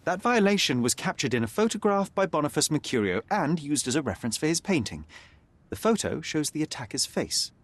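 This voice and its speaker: A man voicing a confident young male detective in a video game, calm and precise. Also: on the high side, brisk. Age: young adult. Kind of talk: video game cutscene dialogue.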